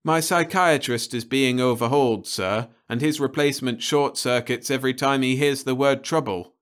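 A man's voice: medium pitch, normal speed, a bit bright, voice pushed up, close to an everyday voice. A man voicing an earnest, polite young man who apologizes respectfully. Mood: apologetic.

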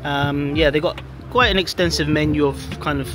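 A man's voice: a little high, normal speed, over music. A man in his forties casually narrating a travel vlog outdoors on a handheld camera, rambling with self-deprecating asides. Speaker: vlogger. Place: outdoor cafe grounds.

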